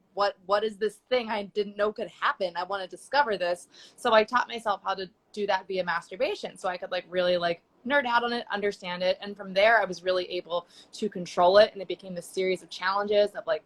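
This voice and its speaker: A woman's voice: medium pitch, fairly bright tone. A young woman chatting casually as a guest on a live video call, talking loosely with many fillers. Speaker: guest sex educator. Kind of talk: casual chat on a live stream. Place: home.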